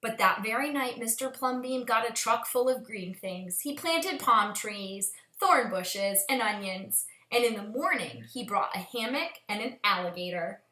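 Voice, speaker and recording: A woman with a fairly high, very animated voice reads a children's story aloud. Her phrasing is expressive and measured, as a storyteller's is, and the room is clearly echoey. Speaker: storyteller, teacher.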